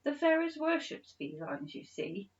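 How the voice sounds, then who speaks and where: A woman voicing an absent-minded, excitable elderly male professor, gentle and playful, in a children's storybook reading. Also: high voice, unhurried, lo-fi sound, voice pushed up. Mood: enthusiastic.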